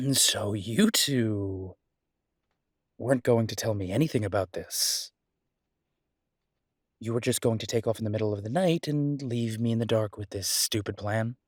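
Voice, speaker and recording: A man playing a devoted, stubborn, protective werewolf detective in an intimate audio roleplay, speaking earnestly and insistently with emphatic pauses. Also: fairly low pitch, animated, breathy edge, no room sound, studio quality, full character voice. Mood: exasperated. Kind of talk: dramatic roleplay audio acting, intimate one-sided dialogue.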